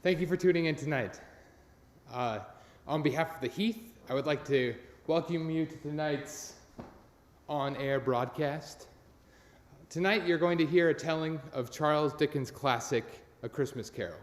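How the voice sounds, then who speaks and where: A man's voice: fairly high pitch, moderate pace. A man giving an informal, sincere and self-deprecating welcome as host of a live radio play. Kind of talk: informal welcome speech to an online audience. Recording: echoey room with long reverb.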